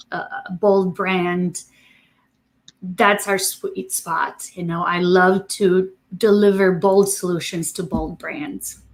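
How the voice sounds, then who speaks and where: A woman from Romania speaking as an interview guest, conversational and a bit halting as she repeats words while thinking. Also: fairly low pitch. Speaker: interview guest, digital marketing agency president.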